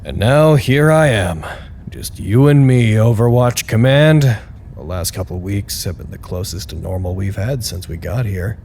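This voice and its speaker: An earnest, expressive site staffer. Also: male voice, lowish voice, unhurried, creaky, a little hiss or hum. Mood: reflective, grateful. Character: earnest, candid male site staffer reporting to unseen superiors. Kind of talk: audio drama monologue as a spoken log.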